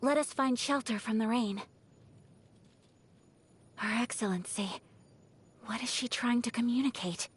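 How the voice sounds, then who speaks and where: An actress voicing a graceful young noblewoman in a video game, gentle and earnest, with poised, formal, delicate phrasing. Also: a little high, measured pace, pauses often, breathy edge. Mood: serene. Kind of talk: video game character voice lines.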